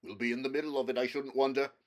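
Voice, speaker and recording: A man's voice: medium pitch, fairly even intonation, racing, darkish tone, pitched above the performer's own voice, close to an everyday voice. A man voicing a gloomy, fatalistic marsh creature in a storybook reading, predicting doom matter-of-factly. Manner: glum, matter-of-fact doom-saying.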